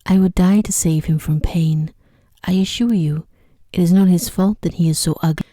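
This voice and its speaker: A woman voicing a kind, earnest young fairytale heroine, softly and in a hushed ASMR storybook reading. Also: on the low side, fairly even intonation, moderate pace, no room sound, clean studio sound, lightly acted. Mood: indignant.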